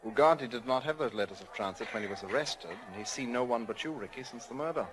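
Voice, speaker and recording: A man's voice: medium pitch, lively intonation, fast talker, a bit bright, natural voice, rough recording. A man acting a suave, wryly corrupt police prefect in 1940s radio style, with a genial, teasing manner. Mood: genial, sly.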